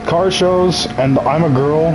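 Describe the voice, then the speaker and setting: A man's voice: fairly high pitch, takes it slowly, background noise. A young man chatting casually as a game streamer, nasal and ill, joking, laughing often and tripping over his words.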